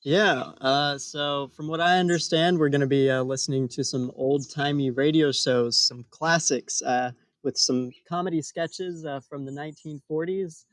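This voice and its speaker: A man co-hosting a podcast in casual comedic chat, speaking in a hesitant, deadpan way. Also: a little high, normal speed, few pauses, no echo. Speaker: podcast co-host.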